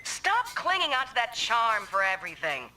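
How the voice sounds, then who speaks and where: An actress playing a tough young woman mech pilot in a video game, brisk, bossy and scolding. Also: on the high side, big pitch swings, noisy background. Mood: scornful.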